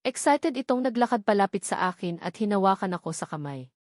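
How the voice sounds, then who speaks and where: A woman reads a first-person novel as a scripted narration. Her voice sits at a middling female pitch. The sound is dry, with very little room in it. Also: expressive, brisk, a little mellow, no room sound. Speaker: audiobook narrator.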